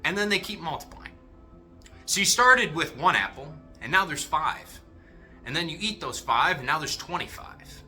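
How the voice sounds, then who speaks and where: High, bright-voiced young man, cursing freely. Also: normal speed. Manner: profane, animated, rambling. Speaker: motivational vlogger. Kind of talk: casual motivational talk. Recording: echoey room, faint music.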